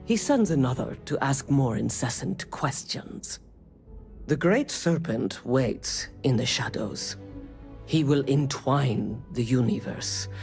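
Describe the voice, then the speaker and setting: An actor playing a fanatical serpent-cult prisoner in a video game, reciting prophecy in a solemn, cryptic, breathy manner. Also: male voice, mid-range voice, darkish tone. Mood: ominous, dismissive. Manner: slow, solemn, cryptic preaching. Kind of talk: video game dramatic monologue.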